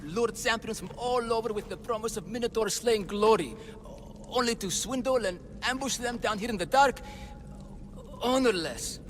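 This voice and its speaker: A man's voice: sits high, moderate pace, a little hiss or hum, natural voice. A man playing an anxious, devoted father and bumbling would-be hero in a video game, with an earnest, theatrical manner. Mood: desperate, guilt-ridden. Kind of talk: video game cutscene acting. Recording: game music behind the voice.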